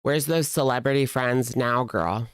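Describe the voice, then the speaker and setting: A woman's voice: extremely low pitch, unhurried, clear, resonant tone, dry recording, clean studio sound. A woman giving casual, snarky reaction commentary in slangy speech, stretching words for emphasis. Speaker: reaction streamer commenting on a video.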